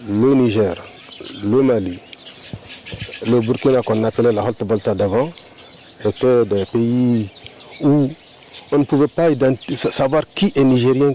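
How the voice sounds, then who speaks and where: Deliberate man in an outdoor interview. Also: medium pitch, dark tone, a little hiss or hum. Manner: deliberate, pausing, with restarts. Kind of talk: street interview.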